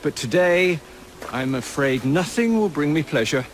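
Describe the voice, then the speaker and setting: An actor playing a proud, stubborn elderly Victorian scientist in a video game, formal and eloquent, with an English accent. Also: male voice, on the high side, lively intonation, a little slow, slight background noise. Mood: troubled, grave. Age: older. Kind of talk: video game cutscene acting.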